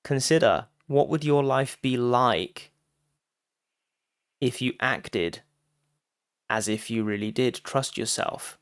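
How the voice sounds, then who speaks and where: A man giving a guided meditation talk as a teacher, phrasing deliberately with frequent pauses and addressing listeners directly. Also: medium pitch, clean tone, dry recording, clean studio sound.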